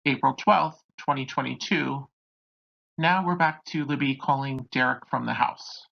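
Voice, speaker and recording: A narrator with a raspy voice hosting a true crime video, reading from a script on a dull home recording. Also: mid-range voice, measured pace.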